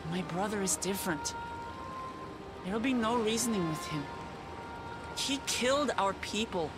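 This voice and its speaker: An actress performs a young female ruler in a dramatic game cutscene. She speaks earnestly and formally, with measured resolve and grief held in check. Loud music plays behind her. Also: medium pitch, lively intonation, lightly acted. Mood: grave resolve.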